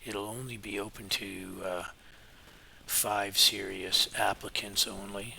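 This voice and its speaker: A middle-aged man with a hoarse, scratchy voice, giving a relaxed, rambling market update full of pauses. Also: fairly low pitch. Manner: relaxed and meandering, many filler pauses. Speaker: market forecasting teacher. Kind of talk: casual spoken market update.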